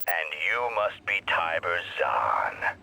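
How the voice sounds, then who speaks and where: An actor playing a terse, menacing bounty hunter in a video game, with a deep, gravelly voice. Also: male voice, sing-song, slow, pushed and bright, a little hiss or hum, full character voice. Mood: cool menace. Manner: terse, threatening.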